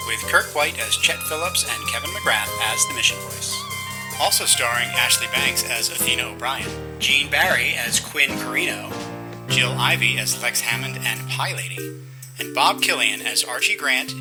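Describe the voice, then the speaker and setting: A man's voice: mid-range voice, rough recording. A man reading scripted end credits as an announcer. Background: guitar music.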